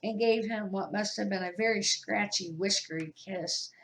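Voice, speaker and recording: An older woman reading a children's storybook aloud as narrator, in a halting, unpolished way. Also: medium pitch, a bit bright. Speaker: storybook reader. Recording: echoey amateur room recording.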